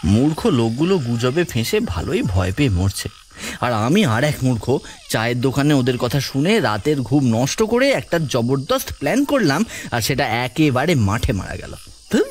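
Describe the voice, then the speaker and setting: An actor playing a grumbling, excitable young village man who mutters to himself in an audio horror story. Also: mid-range voice, moderate pace, slight background noise, close to an everyday voice. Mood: irritated, sulky. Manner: muttering to himself, colloquial, exclamatory.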